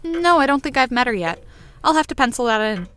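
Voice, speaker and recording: A woman's voice: sits high, lively intonation, a little fast, fairly bright tone, soft background music. A woman playing an exuberant, bossy, playful friend with a theatrical, wordy manner in a fiction podcast. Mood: breezy.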